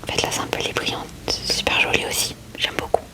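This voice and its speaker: Whispering woman doing soft ASMR. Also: brisk, poor audio. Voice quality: breathy, unvoiced whisper. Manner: gentle, informal, murmuring short remarks to viewers. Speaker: ASMR video creator. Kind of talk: whispered ASMR card-opening commentary. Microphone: close ASMR microphone.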